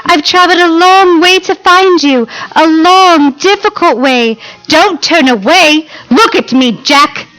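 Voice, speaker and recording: Woman as young vampire bride, very high bright voice, eerie, coaxing, drawn-out, stage radio drama. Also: rough recording. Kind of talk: stage radio play acting.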